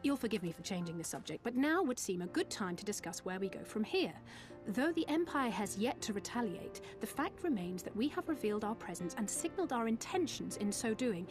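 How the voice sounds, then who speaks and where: A woman's voice: medium pitch, big pitch swings, brisk, music in the background, natural voice. A woman playing a young, articulate adventurer of noble bearing in a fantasy game, confident, formal and dryly pointed. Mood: brisk, dry.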